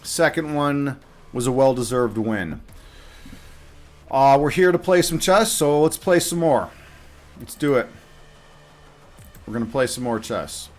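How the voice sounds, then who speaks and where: A man's voice: mid-range voice, animated, measured pace, long pauses. A man giving casual live commentary on his own game as a streamer, muttering half-finished thoughts to himself as he thinks aloud.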